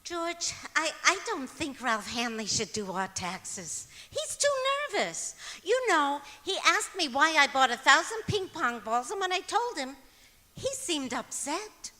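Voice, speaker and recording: Daffy sitcom wife, woman actor: animated swooping delivery, earnest and innocent, old-time radio style in an echoey hall. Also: high-pitched, a little slow, full character voice. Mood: innocently daffy. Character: scatterbrained chirpy wife of a radio comedian. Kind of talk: old-time radio comedy acting on stage. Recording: echoey auditorium stage recording.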